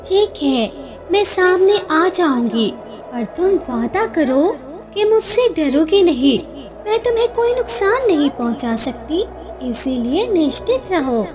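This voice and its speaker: Woman voicing a high-pitched motherly lioness, tender and mysterious. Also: big pitch swings, unhurried, music in the background, exaggerated voice.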